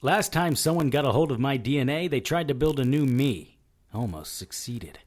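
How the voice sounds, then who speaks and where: A man's voice: mid-range voice, expressive, normal speed, a little mellow, close to an everyday voice. An actor playing a wry, impulsive, tough detective who narrates his own story in an audio drama. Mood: defiant. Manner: wry, hard-boiled. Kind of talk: first-person audio drama narration and acting.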